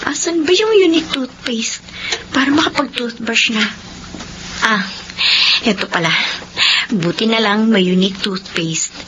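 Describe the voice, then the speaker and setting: A woman's voice: fairly high pitch, a little slow, long pauses, fairly bright tone. A woman playing a young, hardworking, pleading older sister in a Filipino radio drama. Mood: musing. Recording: dull radio sound, noisy background.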